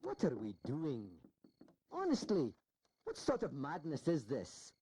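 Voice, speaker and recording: An actor playing an exasperated, skeptical delegate of the founding era in a historical audio drama, wry and emphatic. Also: male voice, fairly high pitch, huge pitch swings, a little slow, mellow, slightly rough, heavily acted. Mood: exasperated, doubting.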